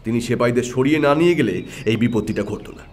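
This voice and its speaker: A man's voice: medium pitch, fast, darkish tone, pitched below the performer's own voice, close to an everyday voice. A man voicing a shrewd, decisive detective in an audio story, with a stern, assertive manner. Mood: confident, explaining. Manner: assertive, explanatory.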